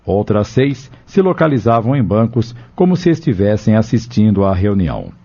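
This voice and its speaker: A man narrates an audio novel in a lowish, warm, relaxed voice. The recording is slightly dull, with faint music behind his scripted reading. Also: normal speed.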